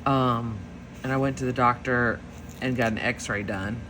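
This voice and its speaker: A woman's voice: very deep voice, steady tone, a little slow, fairly bright tone, low-quality recording. A woman, rambling through a story full of fillers while planning, with faint background noise. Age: 40s. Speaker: planner vlogger. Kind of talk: casual chat while planning.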